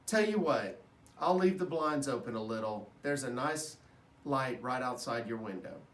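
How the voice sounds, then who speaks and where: A man's voice: expressive, moderate pace, natural voice. Man playing a big hearty night janitor, echoey. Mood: warm, reassuring. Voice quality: booming. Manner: hearty, folksy, playful. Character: big jovial male night janitor, friendly and chatty. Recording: roomy echo, distant microphone.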